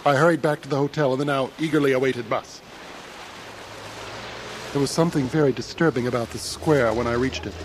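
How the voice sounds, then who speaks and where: An actor playing an anxious, haunted traveller, narrating a horror radio drama in literary, ominous phrases. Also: male voice, mid-range voice, background noise, lightly acted. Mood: uneasy dread. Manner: literary, measured, ominous, urgent under stress. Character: anxious, haunted traveller recounting his ordeal.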